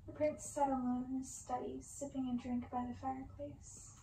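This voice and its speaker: A woman reading a story in ASMR style, with a slow, breathy, intimate delivery. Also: high voice, little pitch movement, warm tone, roomy sound, slight background noise, poor audio.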